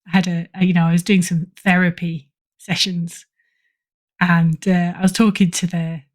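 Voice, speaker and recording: A woman's voice: fairly low pitch, little pitch movement, normal speed. Chatty, self-deprecating middle-aged woman. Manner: self-deprecating, chatty, chuckles through remarks. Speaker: podcast guest, writer and editor. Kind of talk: casual interview talk.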